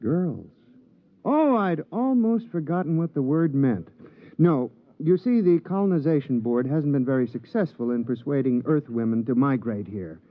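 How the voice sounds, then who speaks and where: An actor playing a meek, polite, lonely little geologist in a 1950s radio drama, mild and earnest. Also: male voice, mid-range voice, lively intonation, normal speed, dry recording, lightly acted. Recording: muffled, dry 1950s radio recording.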